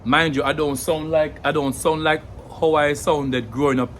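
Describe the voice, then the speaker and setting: A man's voice: on the high side, fairly even intonation, normal speed, a bit bright, slight background noise. A young adult man with a Jamaican accent, explaining in a casual, thoughtful way to camera, with many fillers and repeated words. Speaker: language vlogger.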